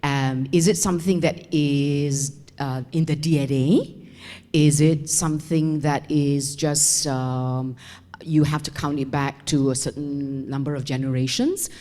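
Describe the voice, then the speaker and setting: Low-voiced older woman, talkative audience comment in a hall. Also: fairly even intonation, unhurried, warm tone. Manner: chatty, laughing, wandering asides. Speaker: audience member and teacher. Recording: reverberant seminar hall.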